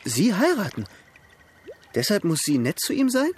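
Eloquent young gentleman, period radio drama. Teasing, polite, highish husky voice. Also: huge pitch swings, moderate pace, exaggerated voice. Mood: curious. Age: young adult. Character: charming, eloquent young gentleman, lively and playful. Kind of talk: radio drama dialogue, period literary adaptation.

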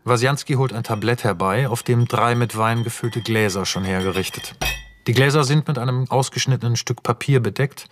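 A man's voice: mid-range voice, moderate pace, slight background noise. A man narrating scene directions for a radio play in a scripted, matter-of-fact manner. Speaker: narrator reading screenplay directions.

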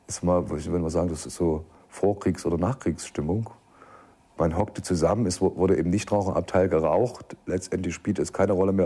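Very deep, husky man recounting memories. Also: dark tone. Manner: colloquial, anecdotal. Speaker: eyewitness interviewee. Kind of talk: spontaneous spoken recollection.

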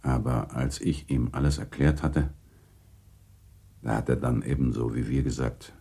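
A courteous gentleman serving as a duelling second in a radio drama. The voice is extremely low and husky, with a dark tone and formal, polite phrasing. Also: steady tone, unhurried, full character voice. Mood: courteous, sober. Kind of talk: German radio play acting.

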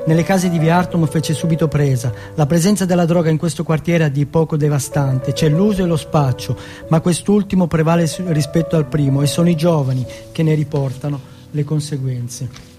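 A man performing dramatic autobiographical narration, with deliberate, lyrical phrasing. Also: on the high side, music in the background. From Turin, Italy. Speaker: monologue performer.

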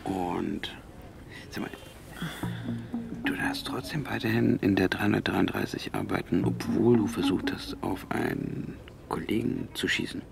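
An actor playing a calm, persuasive journalist in a radio drama, reassuring and gently insistent. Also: male voice, fairly low pitch, unhurried, gravelly. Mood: probing. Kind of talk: naturalistic radio drama dialogue.

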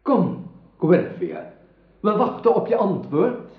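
A man's voice: sits high, wildly swooping pitch, slow, full character voice. An actor playing a kindly but firm noble father in a radio play, urging in a paternal way. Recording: roomy, dull old radio drama recording.

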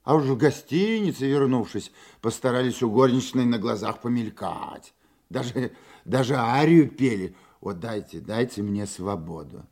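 Husky, sardonic senior investigator. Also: male voice, mid-range voice, expressive, moderate pace, darkish tone. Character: senior male investigator, sardonic, stern, commanding. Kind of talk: Russian radio drama acting.

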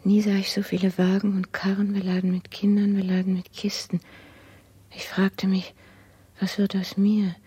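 A woman playing an anxious, humble old maidservant of 59 in a radio play, with a breathy, half-whispered, brooding delivery. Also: medium pitch, monotone, moderate pace, mellow, dry recording. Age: older. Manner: subdued, repetitive, brooding. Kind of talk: 1960s radio play monologue.

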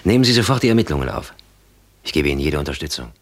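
An actor playing a stern, authoritative police captain in a 1960s German radio drama, with clipped official delivery. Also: male voice, a bass voice, big pitch swings, rapid-fire, full character voice. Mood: grave, urgent. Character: stern male police captain handing out orders.

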